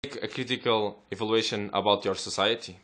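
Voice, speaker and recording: A man's voice: lowish voice, fairly even intonation, brisk, fairly bright tone. A man interviewing a job applicant with short, direct questions.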